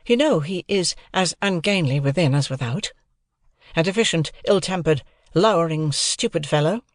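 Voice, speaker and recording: A woman voicing an earnest, wretched young gentleman in love in an audiobook, pleading and insistent. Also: sits low, animated, normal speed, dry recording, studio quality, voice pushed up.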